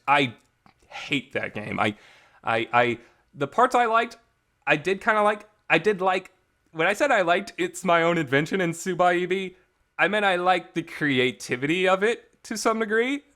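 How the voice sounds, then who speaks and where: A man's voice: on the high side, pushed and bright. A man giving a casual, unscripted review in a blunt, rambling way, repeating words for emphasis and swearing. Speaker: reviewer, vlog host. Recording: reverberant home recording.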